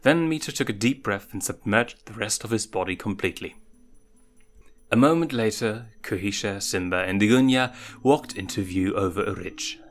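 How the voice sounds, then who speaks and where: A man's voice: fairly low pitch, normal speed. A man narrating a story, reading scripted fiction aloud.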